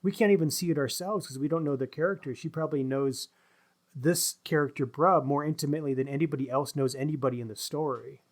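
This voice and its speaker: A man co-hosting a book podcast, discussing in a casual but analytical, measured manner. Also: fairly high pitch. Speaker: podcast co-host discussing books.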